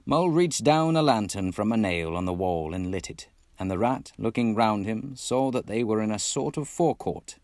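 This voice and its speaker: A man's voice: fairly low pitch, lively intonation, moderate pace, hardly any pauses, darkish tone, no echo, clean studio sound. A man from Texas reading a classic storybook expressively as narrator, in a put-on British accent. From Texas, United States. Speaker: amateur audiobook reader.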